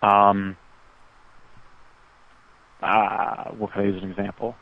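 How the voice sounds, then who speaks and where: A man's voice: on the low side, flat delivery, slow pace, no room sound. A man working as a customer support agent, patiently and hesitantly explaining over the phone. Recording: narrow, tinny phone line.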